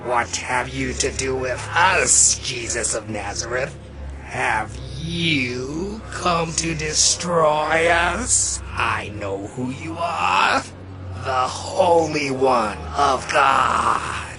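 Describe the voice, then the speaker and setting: Demon: hostile, defiant, menacing, extremely slow cries over clear music. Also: male voice, medium pitch. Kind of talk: dramatized scripture reading.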